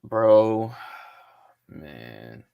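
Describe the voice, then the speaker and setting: A young man co-hosting a podcast, telling a casual, slangy story with lots of swearing, his voice going hoarse. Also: on the low side, sing-song, drawn-out words, phone-quality sound. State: losing his voice. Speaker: podcast co-host. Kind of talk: casual chat.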